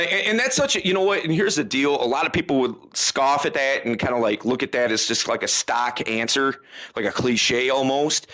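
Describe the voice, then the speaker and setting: Bright-voiced, emphatic man. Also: medium pitch. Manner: emphatic, loose, says "man" a lot. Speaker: podcast guest, dating coach. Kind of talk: casual interview chat.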